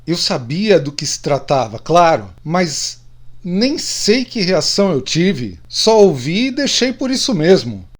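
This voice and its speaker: Middle-aged male buddy actor, radio drama, confessional storyteller, lively intonation, higher register. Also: a little slow. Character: middle-aged man confessing secrets to old drinking friends.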